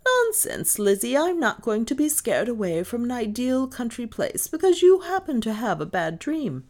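A woman voicing a brisk, no-nonsense older mistress of the house, crisp, decisive and ironic, in an audiobook. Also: deep voice, very animated, heavily acted. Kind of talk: audiobook character dialogue.

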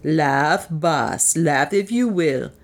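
A woman's voice: low voice, lively intonation, drawn-out words, exaggerated voice. A woman doing a furious hungry dwarf. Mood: indignant. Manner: grumbling, indignant. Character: angry hungry male dwarf treated as a god.

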